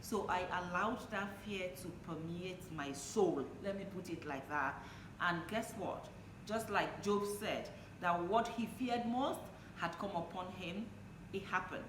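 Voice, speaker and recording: A woman's voice: on the low side, measured pace. A woman speaking as an interview guest, sharing personal testimony in conversation. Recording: echoey room, distant mic, noisy background.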